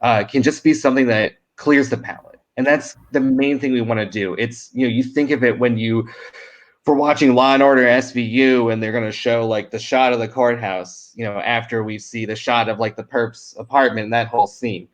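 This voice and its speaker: A man's voice: mid-range voice, fairly even intonation, moderate pace. A man talking casually as a panel guest, in an informal way full of "like" and "you know". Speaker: audio drama creator, panel guest. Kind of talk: casual discussion of craft.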